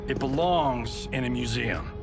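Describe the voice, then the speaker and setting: An American man playing a vain, upbeat, fading action movie star in a game cutscene, brash and smooth-talking. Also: medium pitch, steady tone, unhurried, music in the background. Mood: cocky heroics. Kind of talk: video game cutscene acting.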